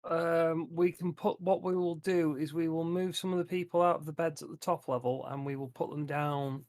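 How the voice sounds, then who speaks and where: A man's voice: fairly high pitch, steady tone, normal speed, darkish tone, no room sound, studio quality. A man playing a tabletop roleplaying game, chatting casually in a blunt, sweary way. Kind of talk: casual group banter.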